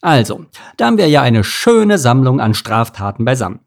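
A stern mouse police sergeant from a children's storybook, acted out by a male reader. He speaks in a gruff, bureaucratic way, listing things with pedantic care, with a gravelly edge. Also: mid-range voice, sing-song, normal speed. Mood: officious.

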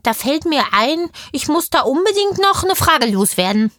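A woman's voice: high-pitched, big pitch swings, normal speed, a bit bright, dry recording, studio quality. A woman playing a curious, chatty little girl in an audio play, telling things eagerly and in a wordy, childlike way. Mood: cheerful, eager.

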